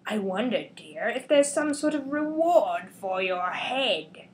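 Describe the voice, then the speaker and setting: A woman's voice: a little high, big pitch swings, takes it slowly. A woman voicing a menacing, ranting male villain scientist in a theatrical storybook read-aloud. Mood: gloating, threatening. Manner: theatrical, declamatory. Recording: noisy webcam recording.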